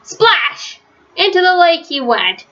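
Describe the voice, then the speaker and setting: Bright-voiced woman reading a children's story. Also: extremely high pitch, sing-song, takes it slowly. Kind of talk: read-aloud children's story narration. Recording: dull, lo-fi sound.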